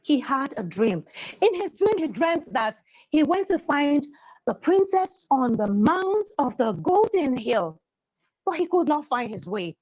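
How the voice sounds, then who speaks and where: Highly animated high-pitched woman storyteller. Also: a little slow, rough recording. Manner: theatrical, dramatic gasps and sighs, repeats words for effect, asks listeners questions. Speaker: storyteller for children.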